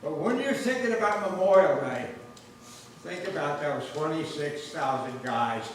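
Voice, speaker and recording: An older man, a war veteran, recalls wartime memories in a halting way, repeating words and dropping his voice low. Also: expressive, a little hiss or hum. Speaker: veteran speaker. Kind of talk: personal war memories at a ceremony. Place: grange hall. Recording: echoey hall, voice from far off.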